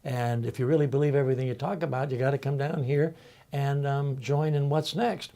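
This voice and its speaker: Older man panel guest, mellow tone, reflective and halting, lots of ums. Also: medium pitch, steady tone. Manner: thoughtful, halting, many ums and uhs. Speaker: panel guest, college faculty member.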